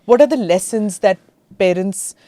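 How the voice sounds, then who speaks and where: A woman's voice: medium pitch, moderate pace, dark tone, no room sound. A woman interviewing a guest, steering the talk with probing questions. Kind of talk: conversational interview.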